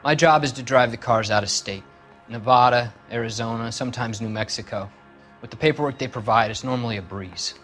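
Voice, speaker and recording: A surly small-time American car thief played by a man in a period crime game. He talks in sullen slang at an ordinary male pitch, always on the defensive. Soft music plays underneath. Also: a little fast, natural voice. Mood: grudging. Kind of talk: 1940s crime drama game acting.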